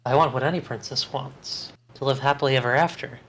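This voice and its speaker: A man's voice: mid-range voice, fairly even intonation, brisk, a bit bright, natural voice, low-quality recording. A man reading a sincere young princess. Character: devoted young princess, female. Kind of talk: amateur table read.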